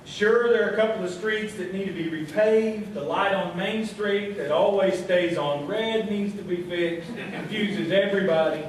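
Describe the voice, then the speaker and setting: A man's voice: high-pitched, normal speed, hardly any pauses. A man hosting a live small-town radio variety show in a folksy style, talking to the folks. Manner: folksy, addresses the audience as folks. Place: live show before an audience. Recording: echoey hall, distant mic, faint crowd noise.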